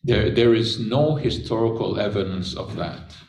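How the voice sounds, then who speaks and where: A man giving an unscripted science talk, unhurried, with frequent pauses and filler words. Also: fairly low pitch, gravelly, a little mellow. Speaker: chemistry lecturer. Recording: echoey room, voice from a distance.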